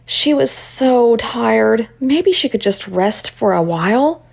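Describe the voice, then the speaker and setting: A woman narrating a children's story in an expressive read-aloud style. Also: fairly high pitch, takes it slowly, creaky.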